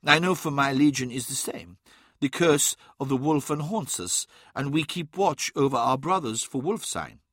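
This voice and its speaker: A man's voice: mid-range voice, expressive, moderate pace, a bit bright, dry recording. Guy doing a knowing wolf warrior shaman in an audiobook, grave, probing, really dry close sound.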